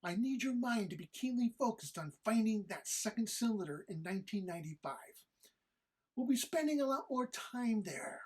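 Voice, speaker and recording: A woman playing husky, smugly menacing suave devil. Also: a little high, expressive, darkish tone. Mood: smug menace. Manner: formal, deliberate, archly sinister phrasing. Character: Old Scratch, suave devilish male fiend, smugly menacing. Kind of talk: audio drama script read-through.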